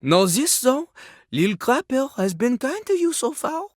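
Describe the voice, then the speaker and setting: A boastful French male poet-rapper from a video game. The French accent is thick with haughty drama, and the pitch leaps about expressively. The sound is dry and close, with every pompous flourish delivered theatrically. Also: big pitch swings, a little slow, no room sound, exaggerated voice. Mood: challenging. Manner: haughty, dramatic, speaks of himself in third person.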